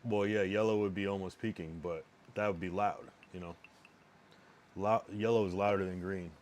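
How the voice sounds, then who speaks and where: A man's voice: sits low, fairly even intonation, a little mellow. A young man with a strained, worn voice, chatting casually on a live stream and rambling with lots of restarts. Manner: rambling, halting, many restarts. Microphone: streaming microphone.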